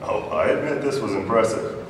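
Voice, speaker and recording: A man's voice: fairly low pitch, animated, fairly quick, lightly acted. A man acting a coolly persuasive schemer in an amateur science fiction audio drama, recorded in an echoey, noisy hall. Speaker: audience volunteer voice actor. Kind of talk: amateur sci-fi audio drama read-through. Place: large convention hall, live on stage. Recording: echoey noisy convention hall, distant mic.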